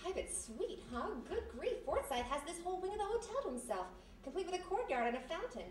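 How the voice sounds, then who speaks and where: High and fast, with room echo and the mic at a distance, a woman acts a snappy gal Friday in a vintage radio mystery. She is sardonic and suspicious, full of dry wisecracks. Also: sing-song. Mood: impressed, chatty. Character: wisecracking, suspicious, quick-thinking gal Friday, former vaudevillian. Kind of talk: live 1940s-style radio comedy-mystery acting. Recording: echoey room, distant mic, faint noise.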